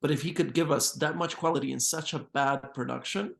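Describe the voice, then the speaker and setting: A man on a casual panel speaking in a supportive, even way. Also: on the high side, fairly quick, vocal fry.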